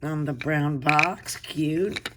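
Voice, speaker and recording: A woman with a hoarse voice from a cold, hosting a casual unboxing video and gushing softly over pretty items. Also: sits low, steady tone, extremely slow, heavy background noise. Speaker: unboxing channel host.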